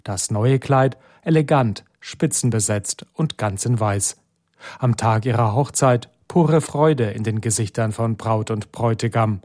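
A man's voice: fairly low pitch, a little slow, a little mellow, no echo, studio quality. A man reading a scripted news report as a radio reporter.

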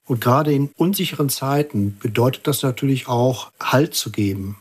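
A man giving a radio interview as a church environmental activist, explaining in a measured, earnest way. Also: medium pitch, husky.

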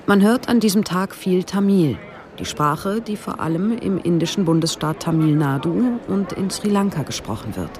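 A woman's voice: lowish voice, expressive, normal speed, a crowd in the background. A woman reading scripted narration for a radio documentary.

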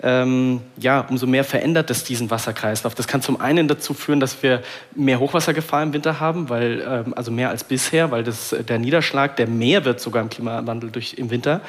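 A man's voice: medium pitch, brisk, few pauses. A man, a climate journalist, explaining on a panel in an informed way with occasional fillers.

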